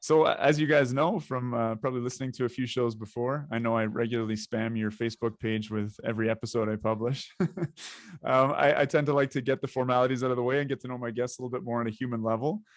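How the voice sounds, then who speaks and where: A Canadian man hosting a podcast interview, talking in a relaxed way with hesitant fillers. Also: medium pitch, fast talker, no echo, studio quality. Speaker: podcast host.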